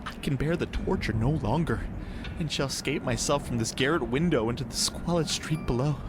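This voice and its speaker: A man reading gothic horror as a despairing, doomed sailor, with a grave, measured, anguished delivery. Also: mid-range voice, noisy background, close to an everyday voice. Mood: anguished, desperate. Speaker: story narrator.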